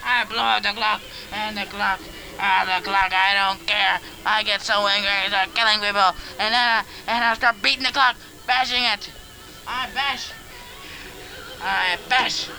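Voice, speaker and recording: A man's voice: medium pitch, a little slow, vocal fry, pauses often, sharp tone, faint music behind, exaggerated voice, poor audio. A man voicing a blustering Soviet villain who boasts about blowing things up, in broken put-on Russian-style English, rambling and giggling in an improvised home tape skit. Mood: frantic. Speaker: comedy character on a home tape.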